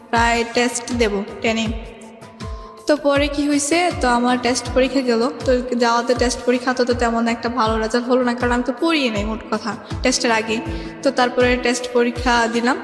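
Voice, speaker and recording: A young woman with a Bangladeshi accent telling her life story as an interview guest in rambling, colloquial speech. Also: fairly high pitch. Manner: rambling, colloquial, often recounts reported speech. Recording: echoey reverberant room, music underneath.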